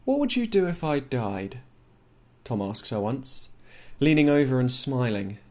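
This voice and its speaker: A man with a medium-pitched voice narrates literary fiction for an audiobook. His reading is expressive, and he shifts his voice to act out lines of dialogue. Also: measured pace.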